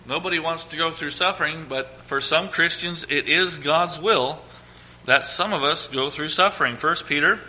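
Hoarse male pastor with a cold, bright and slightly high voice, preaching scripture to a congregation. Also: rough recording. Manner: reads scripture slowly and emphatically, asks congregation to quote verses. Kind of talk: sermon.

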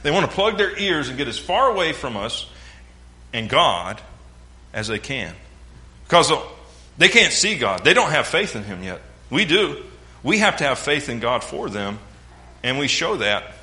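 A man's voice: mid-range voice, big pitch swings, a little slow, pauses often, pushed and bright. A man from the American South with a Southern accent, preaching a sermon with folksy jokes and mimicked sounds.

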